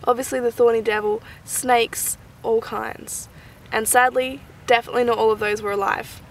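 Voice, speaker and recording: High-voiced Australian woman, chatty wildlife vlogger. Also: flat delivery, normal speed, a little hiss or hum. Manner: gushing, chatty, uses informal slang. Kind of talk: casual, enthusiastic nature commentary to camera.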